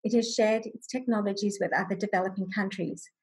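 A middle-aged woman gives a formal scripted reading over a video call. Her voice sits at an average pitch with a slightly rough, husky grain, and her phrasing is measured and solemn. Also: steady tone, low-quality recording. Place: furnished room at home, indoors. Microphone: video call, computer microphone.

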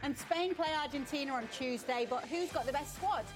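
Female sports presenter, fairly high voice. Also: brisk, over music. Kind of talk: polished broadcast hosting. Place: television studio.

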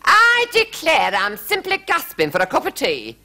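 A man voicing a fussy, highly strung storybook queen, imperious and melodramatic in her declaiming. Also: huge pitch swings, normal speed, sharp tone, full character voice. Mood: gasping, insistent. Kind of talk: children's story character voice.

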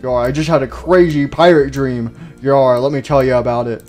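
A man's voice: mid-range voice, steady tone, normal speed, a little mellow, soft background music, natural voice. A man voicing a boisterous, adventurous animatronic pirate fox who rambles and peppers speech with pirate growls of "yar". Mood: jaunty. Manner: starts sentences with "yar".

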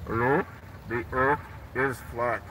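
A man's voice: mid-range voice, steady tone, slow, sharp tone, rough recording. A middle-aged man with a Canadian accent, making blunt short statements in a casual vlog and calling out slogans to passers-by. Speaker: vlogger and street activist. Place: inside a parked or slow-moving car. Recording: car interior with vehicle noise.

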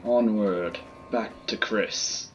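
A man giving casual, low-key let's play commentary, muttering in short phrases. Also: drawn-out words, faint music behind. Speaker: gaming video host.

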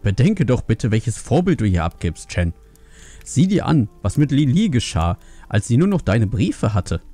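Fantasy audiobook role: strict panda dad, male actor, angry and reproachful, very lively pitch, dark tone. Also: moderate pace, soft background music. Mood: stern, reproachful. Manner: stern, reproachful. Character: strict, angry panda father scolding his family. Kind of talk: acted fantasy audiobook dialogue.

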